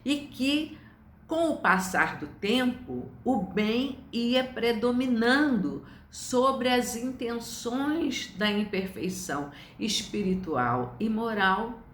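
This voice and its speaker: A woman in her seventies with a Brazilian accent, giving an informal religious lecture to online viewers in a measured, didactic way. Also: fairly high pitch, lively intonation, a little hiss or hum. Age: 70s. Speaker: spiritist study lecturer. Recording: echoey room.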